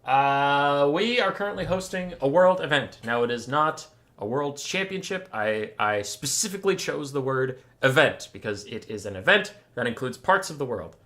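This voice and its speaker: A man hosting a livestream with a bright, forceful, slightly tense voice that sits at an ordinary male pitch. He chats casually and rambles in a wry, self-mocking way, dropping swear words offhand before casting games. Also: lively intonation, normal speed. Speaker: stream host and tournament caster. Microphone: desk mic, camera off.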